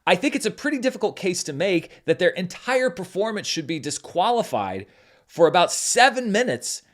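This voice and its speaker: Male host, film critic, lively explainer delivery, slightly high voice. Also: normal speed. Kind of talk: scripted commentary.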